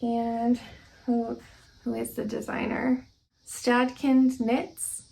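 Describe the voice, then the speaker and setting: Slow, gravelly-voiced young woman. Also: a little high, low-quality recording. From Oklahoma, United States. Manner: relaxed, many ums and small laughs. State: slightly scratchy from allergies. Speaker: knitting podcast host. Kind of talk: casual chat to camera. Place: at home, indoors.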